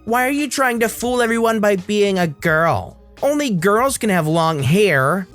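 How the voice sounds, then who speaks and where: A hostile, bigoted entitled mother, put on by a male narrator spits out sneering insults. The voice sits well above his own and sounds pinched and oddly colored, and the worst words are stretched slowly. It comes across as a storybook villain in a read-aloud tale. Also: high-pitched, animated, fairly bright tone, soft background music, voice pushed up.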